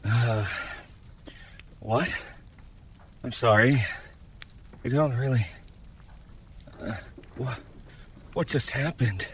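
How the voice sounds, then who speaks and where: A man playing a dazed, unsure armored warrior in an audio drama, speaking haltingly with a husky voice. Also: medium pitch, heavily acted. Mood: dazed, confused. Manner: halting, bewildered.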